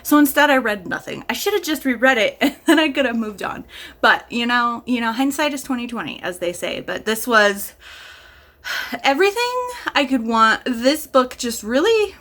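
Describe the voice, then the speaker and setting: A woman's voice: on the high side, expressive, moderate pace, a bit bright. A woman chatting casually as a vlogger and reviewer, gushing with enthusiasm and leaning hard on emphatic words. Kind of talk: casual chat to camera.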